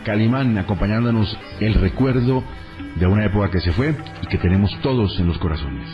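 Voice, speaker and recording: A man's voice: on the low side, expressive, brisk, dull audio, music in the background. A man with a Colombian accent conversationally interviewing guests on the radio.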